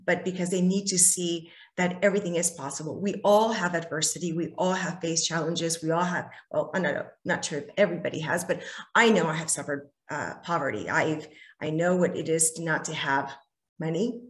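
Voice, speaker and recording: A woman's voice: low voice, moderate pace. Earnest woman senator on a video call. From California. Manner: earnest, many fillers and restarts. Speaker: state senator, interview guest. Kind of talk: candid answers in a virtual town hall. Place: home office, indoors.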